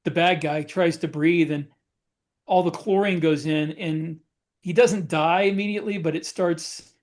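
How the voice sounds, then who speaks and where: A man with a slightly high voice chats casually as a podcast co-host. He works through his stories haltingly, backing up to correct details. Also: fairly even intonation. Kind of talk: casual panel chat.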